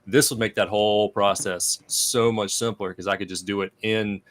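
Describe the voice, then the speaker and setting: A man speaking as a guest in a casual chat, halting often with "uh" and "um". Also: lowish voice, steady tone, fairly bright tone. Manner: halting, many "uh" and "um". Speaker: guest panelist.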